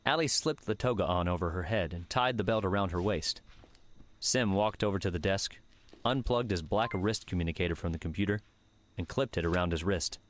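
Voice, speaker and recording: A man narrating an audiobook in a measured, steady reading manner. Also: sits low. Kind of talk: scripted narration.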